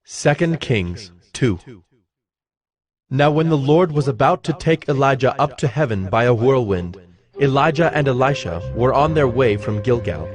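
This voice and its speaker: A man narrating scripture from a script in a measured, formal manner. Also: medium pitch, animated.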